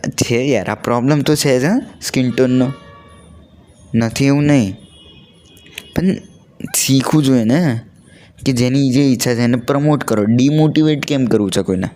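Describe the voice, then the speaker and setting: Young man, medium pitch, casual vlog chat, earnest storyteller, English words mixed in. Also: normal speed, long pauses, dark tone. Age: young adult. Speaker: vlogger.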